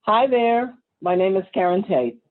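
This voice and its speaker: Woman casually reminiscing, soft music behind. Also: mid-range voice, expressive, measured pace, phone-quality sound. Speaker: interviewed former TV co-host and actor.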